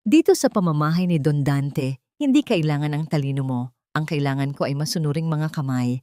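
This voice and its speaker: A woman's voice: sits low, animated, brisk, warm tone, no room sound, clean studio sound. A woman voicing a cold, cruel elderly mistress of a mansion in an audiobook, stern and commanding.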